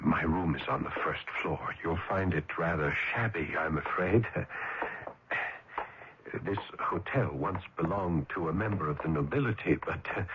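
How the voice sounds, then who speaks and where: Gallant young student, muffled old radio. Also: male voice, low-pitched. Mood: eager, deferential. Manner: courtly, hesitant, florid formal speech. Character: earnest, gallant poor young male student, smitten and romantic. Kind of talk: old-time radio drama acting and narration. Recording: muffled, noisy vintage radio broadcast.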